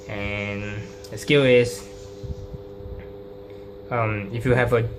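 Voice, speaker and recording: A man informally explaining a card deck, halting and hesitant, often repeating his phrases. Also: fairly low pitch. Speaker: trading card deck profile presenter. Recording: clearly noisy recording with hum.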